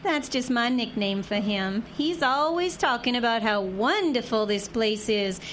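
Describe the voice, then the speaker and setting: A woman's voice: on the high side, animated, a little slow, fairly bright tone, a little hiss or hum. A woman playing a feisty, proud young bride from Texas in a radio drama, with a Southern US accent and folksy, teasing manner. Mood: sassy, teasing. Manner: sassy, folksy Southern turns of phrase.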